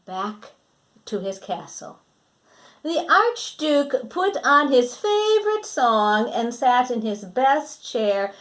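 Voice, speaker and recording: A woman reads a storybook aloud for children in a fairly high voice. Her pitch swings widely and her delivery is dramatic, as a teacher would do when drawing young listeners in with questions. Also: sing-song, takes it slowly, a bit bright. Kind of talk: animated picture-book read-aloud.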